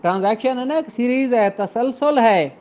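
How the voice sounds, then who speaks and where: High-voiced man lecturing, muffled recording. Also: lively intonation, darkish tone. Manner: didactic, reads English phrases slowly and deliberately. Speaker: law lecturer. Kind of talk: explanatory law lecture with examples, code-switching into English.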